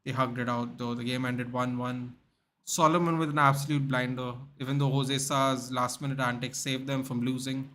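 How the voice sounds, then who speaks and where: A young man from Goa with an Indian English accent hosts a relaxed livestream, answering viewers by name in loose, rambling chat. His voice sits at an ordinary middle pitch, and there is a faint hiss of noise behind him. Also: moderate pace. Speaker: livestream host. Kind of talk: casual livestream chat reading out viewer comments.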